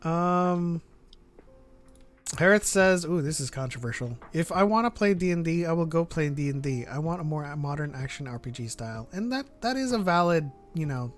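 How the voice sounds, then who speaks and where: A man with a moderately high voice hosts an informal livestream. He chats casually and reads out comments from viewers. There is light music in the background. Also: animated, moderate pace. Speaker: host reading viewer comments.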